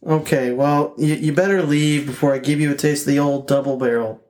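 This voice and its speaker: A man's voice: fairly high pitch, fairly even intonation, fairly quick, darkish tone, close to an everyday voice. A man voicing a flustered husband at the door, who hesitates and stammers with lots of fillers.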